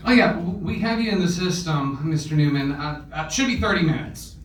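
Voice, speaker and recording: A man's voice: a little high, lively intonation, brisk, natural voice. A man playing a chatty, jokey delivery guy in his thirties at a live comedy table read. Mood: chirpy, awkward. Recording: echoey room, distant mic, noisy background.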